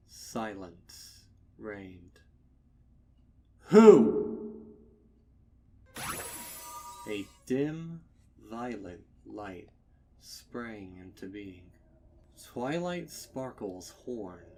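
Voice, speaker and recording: Very slow, low-voiced male horror narrator. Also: a little mellow, distant mic, slight background noise. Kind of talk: dramatic horror story narration.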